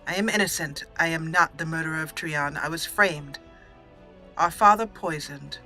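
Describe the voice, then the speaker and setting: Woman, bright voice, chatty game commentary, giggly, roleplay line reading, gaming channel host. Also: low voice, moderate pace, faint music behind. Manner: chatty, giggly, slips into reading lines in character. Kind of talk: let's play roleplay commentary.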